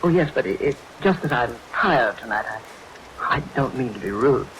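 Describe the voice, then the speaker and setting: An actor playing a nervous, evasive man hiding guilt, halting and apologetic, in vintage radio thriller style. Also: mid-range voice, expressive, fairly bright tone, natural voice, low-quality recording. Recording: vintage radio recording, hiss, rain behind.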